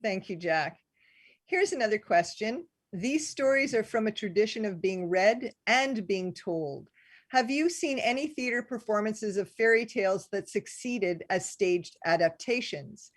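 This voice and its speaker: A woman's voice: mid-range voice, animated, unhurried, no room sound. A woman moderating a live question-and-answer event, reading out questions and adding comments.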